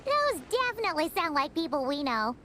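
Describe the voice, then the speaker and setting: Game sidekick sprite: piping, eager, lively swoops. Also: female voice, very high voice, a little fast, faint music behind, exaggerated voice. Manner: eager, refers to herself by name. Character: tiny chatty floating female companion sprite. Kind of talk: game voice acting.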